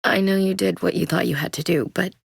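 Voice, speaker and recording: A tough marine, low voice. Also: female voice, fairly even intonation, brisk, gravelly, husky, no echo, heavily acted. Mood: steady. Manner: blunt, soldierly, dry wit. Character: tough young female marine soldier. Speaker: squad soldier. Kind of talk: video game voice acting.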